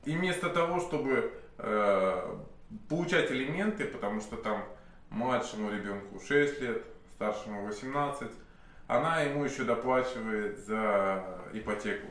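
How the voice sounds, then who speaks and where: A man in his thirties with a slight cold, telling a long story to camera, full of pauses and restarts. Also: medium pitch, a bit bright. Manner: rambling, many hesitation sounds and restarts. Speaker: self-styled sorcerer telling a client's story. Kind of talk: unscripted monologue to camera. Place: indoor office room with candles. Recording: very echoey room, faint hiss.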